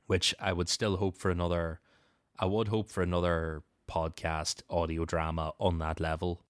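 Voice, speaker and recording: A man's voice: sits low, level tone, moderate pace, clean tone, dry recording, studio quality. A man hosting a podcast in a casual, conversational style. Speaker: podcast host.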